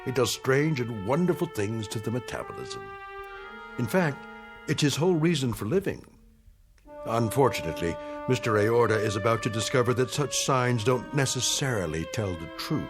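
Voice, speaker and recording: An actor playing a solemn, knowing narrator of eerie tales, delivering measured, wry scripted narration. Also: male voice, mid-range voice, sing-song, music in the background.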